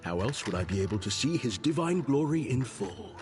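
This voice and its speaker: A man voicing a solemn, formal, pious cardinal in a video game, speaking in measured phrases over music. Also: mid-range voice, big pitch swings, natural voice. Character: solemn, formal senior churchman, a cardinal and father.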